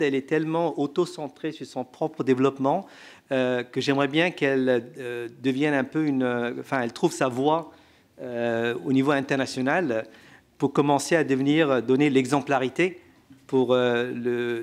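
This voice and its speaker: A man's voice: medium pitch, steady tone, normal speed. A man on a conference panel answering an audience question, hesitant and full of fillers and restarts.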